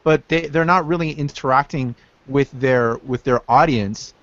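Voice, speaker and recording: A man's voice: medium pitch, normal speed, fairly bright tone, dry recording. A man in his thirties in a casual group chat, talking hesitantly with many restarts. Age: 30s. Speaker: podcast guest. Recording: dry, dull lo-fi sound.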